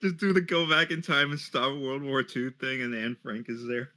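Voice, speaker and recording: Man, bright forward voice, mid pitch, casual commentary. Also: normal speed. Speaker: commentator on a story reading. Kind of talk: casual chat.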